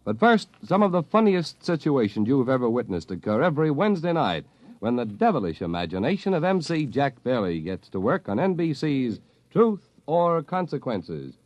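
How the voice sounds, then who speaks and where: Animated vintage radio announcer, male. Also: mid-range voice, normal speed, no room sound. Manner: polished, promotional. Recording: muffled vintage radio broadcast.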